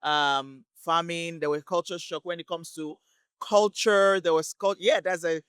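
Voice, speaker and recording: A woman from Lagos, speaking as a guest in a relaxed interview. Her voice is smooth and clear, and the recording is close and dry. She talks thoughtfully, pausing now and then to find the right words. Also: lowish voice, no echo. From Lagos, Nigeria. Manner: thoughtful, unhurried, pauses to search for words. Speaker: guest, community relations worker. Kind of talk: casual interview chat.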